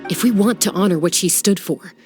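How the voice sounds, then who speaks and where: A man's voice: high-pitched, a little fast, faint music behind, close to an everyday voice. A man voicing a grieving, resolute young warrior in a video game, subdued and solemn.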